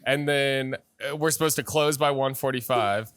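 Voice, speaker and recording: Bright-voiced laid-back man host. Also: mid-range voice, normal speed, smooth, no echo. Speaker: podcast host. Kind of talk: casual chat.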